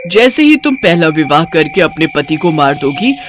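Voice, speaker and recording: A stern queen of witches in a cartoon fable, voiced by a woman. She speaks with measured authority and a lively, varied pitch. Music runs behind her. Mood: solemn, instructing.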